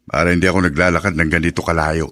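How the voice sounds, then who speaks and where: A man's voice: deep voice, little pitch movement, rapid-fire, close to an everyday voice. A man playing a hardworking, fiercely protective provincial father in a Philippine radio drama. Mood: weary musing. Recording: dramatic music behind.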